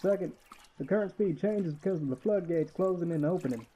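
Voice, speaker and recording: An actor playing a kindly, folksy old waterworks caretaker in a radio play. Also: male voice, high voice, a little fast, mellow, a little hiss or hum, close to an everyday voice. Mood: warm, conspiring. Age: older.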